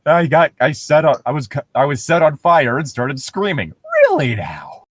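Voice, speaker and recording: A man's voice: on the high side, sing-song, normal speed, a bit bright, husky, dry recording, clean studio sound. A man doing a comedic read-aloud of horror fiction, theatrical and sardonic. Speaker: co-host reading horror stories for laughs.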